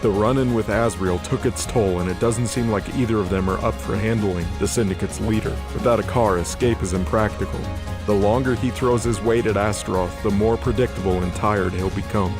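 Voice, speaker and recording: A man's voice: on the low side, brisk, music in the background. A man reading scripted, dramatic narration as the narrator of a fantasy audio drama.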